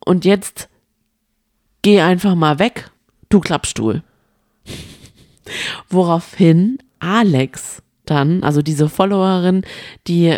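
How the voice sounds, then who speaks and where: A woman's voice: lowish voice, takes it slowly, pauses often, a little mellow, dry recording, clean studio sound. A woman co-hosting a casual, gossipy chat about reality TV, telling stories with strong opinions. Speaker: podcast co-host.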